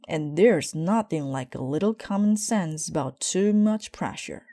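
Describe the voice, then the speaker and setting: Woman as a calm, reassuring father bear. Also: low voice, unhurried, no echo.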